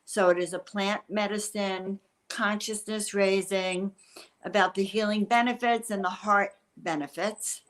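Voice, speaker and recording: Woman guest, medium pitch. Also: steady tone, measured pace. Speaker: talk show guest, spiritual artist. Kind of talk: casual spiritual chat.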